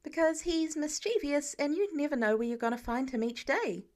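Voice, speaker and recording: A woman voicing an eager, earnest teenage boy with a playful streak, excitable and insistent, in an audiobook story. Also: high-pitched, moderate pace, pitched above the performer's own voice, natural voice.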